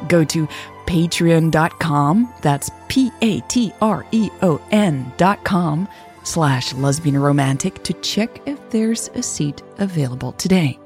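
A woman's voice: low-pitched, lively intonation, takes it slowly, a little mellow, faint music behind. A woman podcast creator reading a scripted promo for her membership program.

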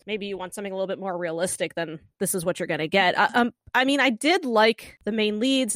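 A woman's voice: mid-range voice, fairly bright tone, no echo, studio quality. A married woman co-hosting a podcast, chatting casually about a drama and dropping plenty of "like" as she goes. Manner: chatty, lots of "like" and restarts. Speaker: podcast co-host.

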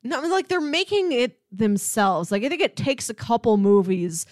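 A woman's voice: fairly high pitch, lively intonation, normal speed, no echo. Enthusiastic woman podcast co-host, dry close sound. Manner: enthusiastic, conversational, peppers talk with "like". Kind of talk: casual film discussion chat.

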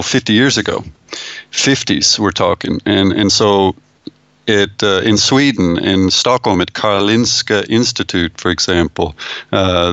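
Low gravelly man, dry dull sound. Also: a bit bright, dry recording. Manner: thoughtful, with frequent restarts and small laughs. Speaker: sports science professor, interview guest. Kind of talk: relaxed interview answers.

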